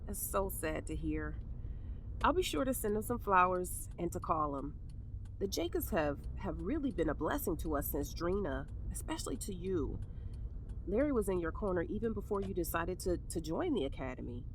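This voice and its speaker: Woman playing a middle-aged mom in an audio drama, caring but firm, really expressive pitch, bit of background noise. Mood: sympathetic. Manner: measured, admonishing, motherly. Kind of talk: naturalistic audio drama dialogue.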